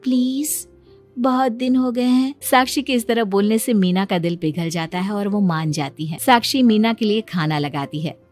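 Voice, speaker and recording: A woman's voice: medium pitch, animated, normal speed, few pauses, soft background music. A woman narrating a scripted moral story as its storyteller.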